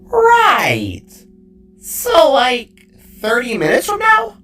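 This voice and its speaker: A very high-pitched, swooping voice for a chatty little cube creature. It is a playful, teasing sidekick from a fantasy audio story. The talk is wordy and excitable, with music behind it. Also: male voice, very slow, far from the mic, exaggerated voice. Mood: curious. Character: chatty playful talking cube companion, male.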